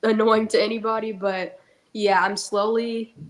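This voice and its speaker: A woman's voice: mid-range voice, little pitch movement, measured pace. A young woman with a congested, stuffy nose, chatting casually and jokingly as a guest on a talk show. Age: young adult. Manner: chatty and joking, with many fillers. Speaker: guest, actress. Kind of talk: casual chat.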